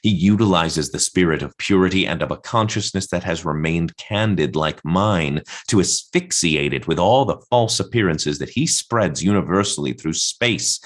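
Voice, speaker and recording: A man playing a defiant, obsessive poet-prophet, declaiming a blasphemous radio-play monologue with solemn, deliberate insistence. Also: low voice, a bit bright. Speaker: actor in an adapted radio play.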